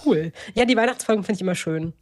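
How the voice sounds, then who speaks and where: A woman's voice: medium pitch, fast. A woman co-hosting a podcast, chatting casually and informally with enthusiasm. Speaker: podcast co-host.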